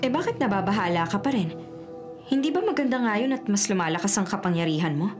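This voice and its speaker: A woman playing a cunning, determined forger in a Filipino radio drama, brisk and resolute, with a Filipino accent. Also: medium pitch, sing-song, natural voice. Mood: curious, reassuring. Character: cunning, determined female forger seeking justice. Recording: radio drama with loud music underneath. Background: dramatic music.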